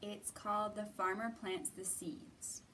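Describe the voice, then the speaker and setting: A woman's voice: mid-range voice, level tone, slow, bright, forceful tone, distant mic, slight background noise, low-quality recording. A woman in her thirties hosting storytime for children, giving simple instructions addressed to young listeners. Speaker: children's library storytime host. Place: indoor library room.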